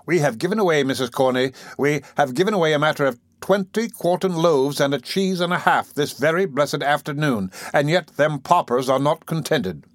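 A man's voice: on the high side, normal speed, hardly any pauses, no room sound, clean studio sound, close to an everyday voice. Man doing a pompous blustering beadle. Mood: indignant. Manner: pompous, indignant, moralizing. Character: pompous self-important male parish beadle.

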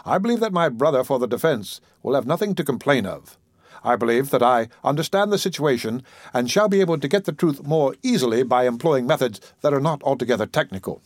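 A man voicing a composed young Southern prosecuting attorney, questioning in formal, measured speech. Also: mid-range voice, expressive, dry recording, clean studio sound, close to an everyday voice.

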